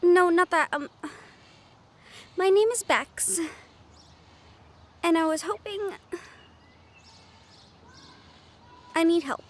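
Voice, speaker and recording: A woman's voice: very high voice, slow pace. An actress playing an anxious, sweary young woman haunted by a ghost, exasperated and conversational in an audio roleplay. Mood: hesitant, nervous.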